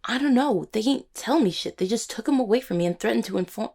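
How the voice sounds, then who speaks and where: A woman voicing an anxious, streetwise cupid in an intimate audio roleplay, slangy and sweary, with a husky, halting, emotional delivery. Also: fairly high pitch, animated, a little mellow. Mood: panicked. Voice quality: husky, gravelly. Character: anxious, guilt-ridden cupid who helps clients, streetwise.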